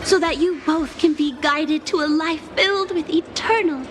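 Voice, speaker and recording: A woman's voice: high-pitched, steady tone, moderate pace, background noise, lightly acted. A woman playing a cheerful, zealous recruiter for a happiness society in an anime game, speaking like a polished, theatrical preacher. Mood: gently inviting.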